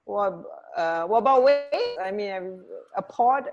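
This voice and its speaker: A woman's voice: medium pitch, expressive, unhurried, highs cut off. A woman co-hosting a radio show in casual chat, musing aloud and repeating words to herself. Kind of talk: casual chat about trivia.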